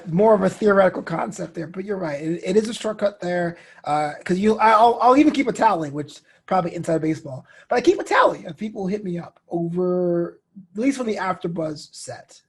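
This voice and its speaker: A man in his thirties talking casually as an interview guest, telling animated stories with slang and acted-out quotes. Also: high voice, creaky, breathy edge. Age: 30s. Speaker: interview guest, DJ, comedian and host.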